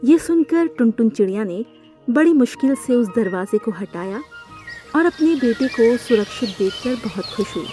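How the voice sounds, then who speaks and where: High-pitched woman narrating a children's story. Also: animated, normal speed, warm tone, faint music behind.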